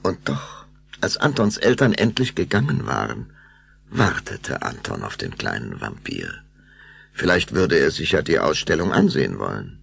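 A man narrating a children's radio play in an expressive storytelling manner. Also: a bass voice, moderate pace, gravelly, breathy edge, dry recording.

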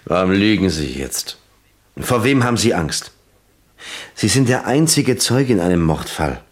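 Deep-voiced, insistent police lieutenant. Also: male voice, sing-song, slightly rough, exaggerated voice. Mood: urgent. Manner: deliberate, probing, emphatic. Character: earnest, insistent, world-weary American police lieutenant. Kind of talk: German crime radio play acting.

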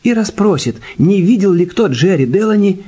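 An actor playing a composed, observant detective with a husky voice in a radio play, also narrating. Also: male voice, high-pitched, lively intonation, normal speed, warm tone. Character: composed, observant male detective, sparing with words.

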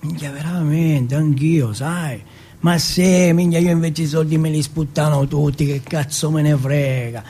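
A man's voice: fairly high pitch, fairly even intonation, measured pace, dark tone, husky. A man acting out cocky, foul-mouthed teenage street boys from Turin in a stage monologue, slangy and full of swearing. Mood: casual. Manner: slangy, swearing, repetitive filler words.

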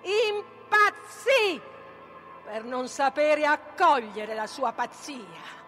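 Slow, high-pitched savage sorceress Medea. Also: female voice, sing-song, soft background music, heavily acted. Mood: furious, exalted. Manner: declamatory, incantatory, swinging from hushed to shouting. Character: Medea, vengeful, savage, tormented sorceress of Greek myth. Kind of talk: theatrical dramatic monologue, stage reading.

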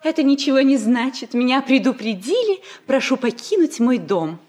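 A woman's voice: sits high, animated, moderate pace, a little mellow. An actress playing a cold, accusing, jealous wife and mother in a 1960s radio comedy. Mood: icy accusation.

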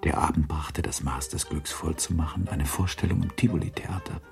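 A sober male narrator for a classic literary radio play. His voice sits very low with a gravelly creak. The delivery is measured and detached, like scripted storytelling. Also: steady tone, in a whisper, soft background music, exaggerated voice.

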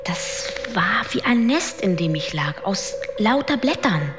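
A woman's voice: mid-range voice, very animated, a little slow, over music. An actress playing an introspective, entranced woman recalling her experiences in a German radio drama. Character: introspective, entranced female swarm member. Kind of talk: German radio drama acting, hushed recollection.

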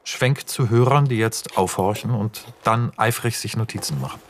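A man's voice: on the low side, normal speed, a little hiss or hum, natural voice. An actor as a male narrator reading screenplay directions in a radio drama, factual and clipped in his delivery. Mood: matter-of-fact. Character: matter-of-fact male narrator announcing film-script scenes.

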